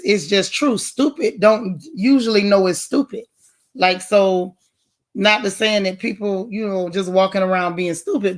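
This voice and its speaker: A woman with a heavy, loud voice that has bass in it, hoarse at the moment, talking directly and emphatically while she hosts a casual livestream and answers chat comments.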